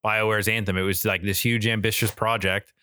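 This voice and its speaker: A man's voice: on the low side, little pitch movement, brisk, sharp tone, clean tone, dry recording, studio quality. A man co-hosting a podcast, chatting casually and emphatically in slangy, mildly profane language. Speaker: podcast co-host. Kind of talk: casual chat about video games and media.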